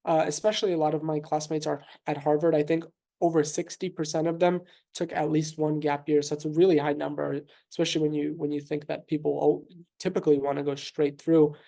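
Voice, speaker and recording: Gravelly, mellow-voiced man in his thirties. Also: fairly high pitch, flat delivery. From New York City. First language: Russian. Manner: thoughtful, measured, frequent fillers and restarts. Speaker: physician guest. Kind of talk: conversational interview answers.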